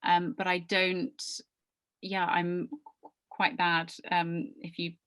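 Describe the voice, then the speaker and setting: Woman panelist, slightly low voice, tentative and thoughtful delivery. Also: completely flat delivery, takes it slowly, a bit bright. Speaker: panel speaker. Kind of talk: academic panel discussion.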